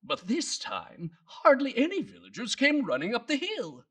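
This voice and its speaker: A woman hosting and reading children's bedtime stories in an animated, theatrical way with dramatic pauses. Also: a little high, far from the mic, a little hiss or hum. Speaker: children's bedtime storyteller and host. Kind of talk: animated scripted storytelling for children.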